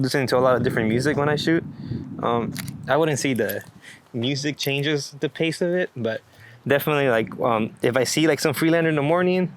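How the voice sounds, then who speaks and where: A man talking casually as an interviewed photographer, rambling and enthusiastic, with slangy speech and plenty of swearing. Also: mid-range voice. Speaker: street and landscape photographer being interviewed. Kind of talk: casual unscripted interview chat. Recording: clear background noise, outdoor ambience.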